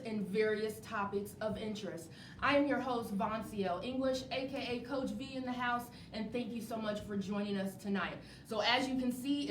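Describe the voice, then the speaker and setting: A woman enthusiastically hosting a live interview show, upbeat and encouraging, repeating phrases for emphasis. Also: fairly bright tone. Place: indoor venue with a live studio audience. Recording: roomy, distant mic with clear rumbling noise.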